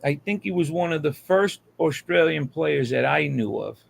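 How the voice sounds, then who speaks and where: A man's voice: a little high, expressive, normal speed, gravelly, a little hiss or hum. An American man in casual chat on a live hangout, with a slangy, profane way of talking.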